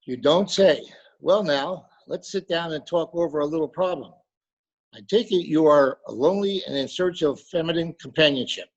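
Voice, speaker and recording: A man's voice: on the high side, normal speed. A man playing a pompous, salesmanlike lonely hearts broker in old-time radio drama style. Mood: jovial, probing. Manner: salesmanlike, florid. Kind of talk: 1940s radio drama acting. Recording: dull, lo-fi remote call audio.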